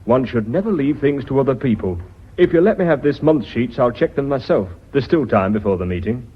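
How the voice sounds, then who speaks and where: An actor playing a brash, flirtatious, self-important British sales executive in a radio drama, with a blustering, smooth-talking manner. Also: male voice, mid-range voice, lively intonation, brisk, a little hiss or hum, natural voice. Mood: breezy, self-justifying. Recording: muffled old radio recording.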